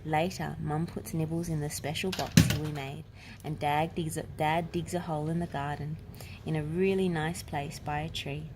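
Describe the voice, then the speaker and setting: Low-pitched woman reading a storybook, noisy background. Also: flat delivery, moderate pace. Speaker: narrator. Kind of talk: children's storybook read-aloud. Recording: clear background noise.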